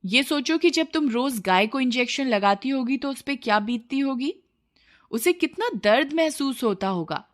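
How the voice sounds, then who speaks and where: A woman's voice: high voice, lively intonation, normal speed, pitched above the performer's own voice. A woman voicing a poor, honest milkman husband in a cartoon story, earnest and given to reproachful questions.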